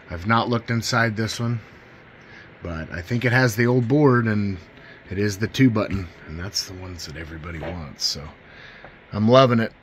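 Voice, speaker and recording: A man's voice: fairly low pitch, a bit bright. A man giving a casual hands-on demonstration as a hobbyist host, talking in a folksy, informal, enthusiastic way. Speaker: host of a CB radio demo channel. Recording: clear background noise.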